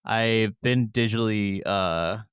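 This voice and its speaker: A man's voice: fairly low pitch, takes it slowly, fairly bright tone, clear, resonant tone, no room sound, studio quality. A man co-hosting a podcast, chatting casually and informally about video games. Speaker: podcast co-host.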